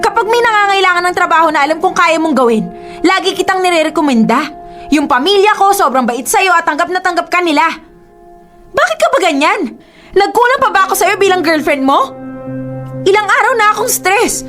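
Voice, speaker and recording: A woman's voice: very high voice, expressive, fairly quick, fairly bright tone, soft background music. A woman with a Filipino accent acting an emotional, reproachful young girlfriend in a radio drama. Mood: angry, hurt. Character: hurt, indignant young girlfriend pleading with her boyfriend.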